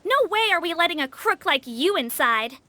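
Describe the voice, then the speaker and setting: A woman's voice: extremely high pitch, sing-song, normal speed, pushed and bright, no room sound, heavily acted. An actress voicing a short, tough young female guard who talks in a cocky, tough-talking way. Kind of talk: video game voice acting.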